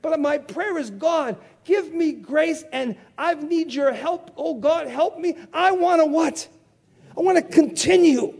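An older male preacher with a very high voice delivers a sermon. He repeats key phrases for emphasis and throws out questions for the congregation to answer back. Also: measured pace, creaky, a little mellow. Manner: call-and-response, emphatic repetition.

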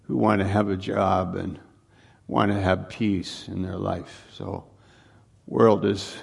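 A male pastor speaking informally to his congregation, halting and repeating words as he goes, often putting questions to them. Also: sits low, level tone, gravelly, darkish tone, breathy edge. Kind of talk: informal sermon, welcoming guests to congregation. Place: live church service.

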